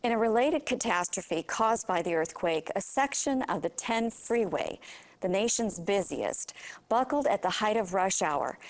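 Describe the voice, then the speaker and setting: A woman with an American accent playing a serious TV news anchor in a video game, delivering a formal, measured disaster bulletin. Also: medium pitch.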